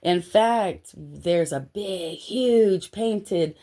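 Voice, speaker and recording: Woman, American accent, low pitch, casual monologue, slangy animated storytelling. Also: drawn-out words. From Los Angeles, United States.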